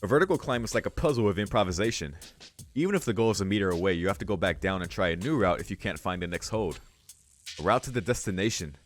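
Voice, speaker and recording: A man's voice: low-pitched, expressive, quick pace, a little hiss or hum. A man hosting a let's play, reading a visual novel aloud with casual, sweary asides. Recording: music behind the voice. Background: game music.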